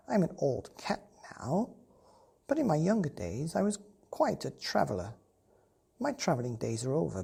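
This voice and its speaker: Woman voicing a husky, genteel old she-cat. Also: low-pitched, dark tone, heavily acted. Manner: genteel, musing, storytelling. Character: polite, well-travelled old female alley cat.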